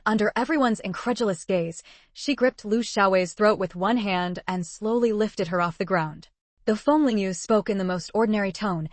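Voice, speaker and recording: Measured woman narrating a novel. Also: medium pitch, no echo. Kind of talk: scripted audiobook narration.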